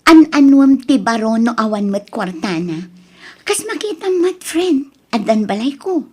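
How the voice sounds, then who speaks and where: Woman playing a husky, sugary, scheming young mistress. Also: a little high, very animated, measured pace, darkish tone, heavily acted. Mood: breezy, scheming. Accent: Filipino. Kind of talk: audio drama dialogue.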